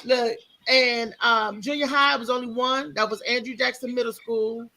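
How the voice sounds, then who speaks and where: A woman's voice: on the high side, steady tone, normal speed, sharp tone, low-quality recording. An American woman hosting a live morning show in casual, chatty talk, often repeating her words. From Maryland, United States.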